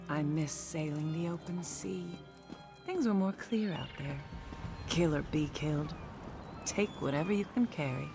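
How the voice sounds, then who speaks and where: A woman's voice: deep voice, unhurried, vocal fry, pauses often, music in the background. A woman voicing a haughty, worldly female governor in a video game, with a pompous, condescending manner. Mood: wistful, cynical. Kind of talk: dramatic video game dialogue.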